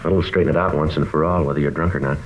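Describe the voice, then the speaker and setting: A man's voice: extremely low pitch, fairly even intonation, racing, slight background noise. A man playing a terse, businesslike American police detective in a mid-century radio drama, clipped and matter-of-fact. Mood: firm, pressing. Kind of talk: 1950s American radio drama acting and narration. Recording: dull old radio recording.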